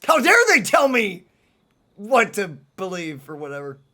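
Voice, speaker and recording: A let's play host giving casual, joking, expressive gameplay commentary in conversational banter. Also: mid-range voice, normal speed. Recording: game music behind.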